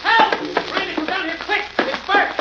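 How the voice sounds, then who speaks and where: A woman's voice: very high voice, moderate pace. An actress playing a frightened woman crying out for help in a 1940s-style radio mystery. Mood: panicked. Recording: muffled, noisy old radio recording with music.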